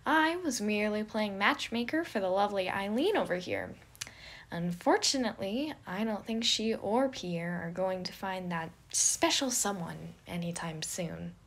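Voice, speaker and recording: A woman's voice: medium pitch, unhurried. A woman voicing a boisterous, theatrical and eccentric man in an audio story. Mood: cheerful. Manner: loud, theatrical, exclaiming. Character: boisterous, gleefully chaotic eccentric man who scolds birds. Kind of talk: fan fiction audio story character.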